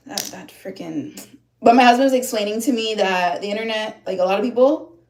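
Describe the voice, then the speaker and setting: A woman in her thirties hosts a livestream, chatting loosely with her viewers and drifting between thoughts with plenty of fillers and restarts. Her voice sits at a middle pitch and rings off the walls of an echoey room at home. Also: moderate pace, a little mellow. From upstate New York, USA. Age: 30s. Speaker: livestream host. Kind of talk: casual livestream chat reading comments.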